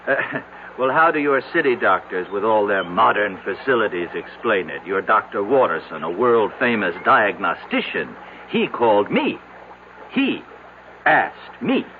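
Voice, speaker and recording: An actor playing a folksy small-town doctor in an old-time radio drama, teasing with drawn-out questions and wry remarks. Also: male voice, on the low side, big pitch swings. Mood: wry, needling. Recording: tinny, noisy vintage radio recording.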